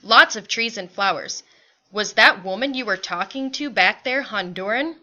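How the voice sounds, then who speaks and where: A woman's voice: mid-range voice, normal speed, sharp tone, highs cut off. A woman voicing a reassuring, devoted migrant husband and father in a tender manner.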